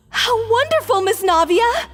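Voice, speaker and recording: Casting: exclamatory townswoman in a game crowd, very high voice, lively intonation. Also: slow, soft background music, full character voice. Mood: gushing. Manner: exclamatory, theatrical. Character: gushing, gossipy female townsperson in crowd. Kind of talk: exaggerated video game voice acting.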